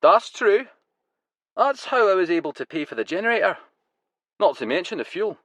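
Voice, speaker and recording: A wild-eyed Glasgow grower with a raspy, high-pitched voice, as a male narrator puts him on. He talks in an eager, proud rush that swings up and down. The storybook reading sounds dry and close. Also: big pitch swings, moderate pace, a bit bright, dry recording, full character voice. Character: wiry older Glasgow man, excitable cannabis grower.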